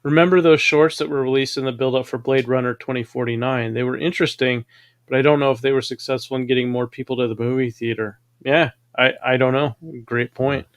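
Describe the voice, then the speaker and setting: A man of ordinary pitch hosts a casual livestream. He reads out viewer comments and answers them in a relaxed, easygoing way. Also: fairly quick. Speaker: show host reading viewer comments.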